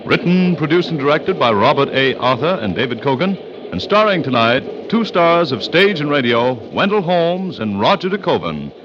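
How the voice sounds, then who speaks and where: A man's voice: fairly high pitch, sing-song, normal speed. A man announcing an old time radio drama in a formal, declamatory manner. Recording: muffled, very noisy vintage radio recording.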